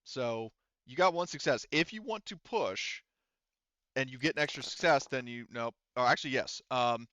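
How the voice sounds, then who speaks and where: Male game master, clear resonant voice, loose and sweary as he talks through rules and scenes; dry but slightly muffled recording. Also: medium pitch, normal speed, sharp tone, dry recording. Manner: rambling, profane, self-correcting. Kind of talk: casual tabletop game narration and rulings. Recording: slightly dull, lo-fi microphone sound.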